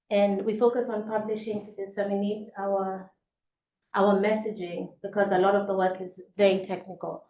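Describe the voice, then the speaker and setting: Mellow-voiced woman from Kenya in echoey room. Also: mid-range voice. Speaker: guest speaker, legal and human rights advisor. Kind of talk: self-introduction presentation to an audience. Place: indoor event space. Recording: echoey room with faint noise.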